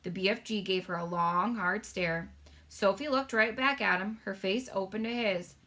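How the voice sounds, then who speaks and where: A woman reading a children's story aloud as narrator, in expressive scripted narration. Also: medium pitch, moderate pace. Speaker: storybook reader.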